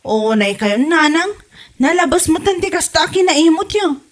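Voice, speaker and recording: A woman's voice: very high-pitched, very animated, moderate pace. A woman playing an exasperated but kind-hearted young daughter-in-law in a comedy audio drama, pleading and reproachful. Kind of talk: comedy radio drama acting.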